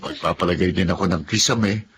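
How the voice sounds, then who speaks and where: A man's voice: deep voice, fairly even intonation, a little fast, close to an everyday voice. An actor playing a mild, respectful young married man in a Filipino radio drama. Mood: polite, casual. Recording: light background noise.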